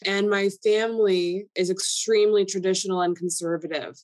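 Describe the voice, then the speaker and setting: A woman's voice: medium pitch, steady tone, normal speed, darkish tone. A young woman from Canada whose first language is Polish, talking candidly as a podcast guest with many fillers. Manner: chatty, filler-heavy, candid. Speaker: guest influencer. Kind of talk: casual podcast interview.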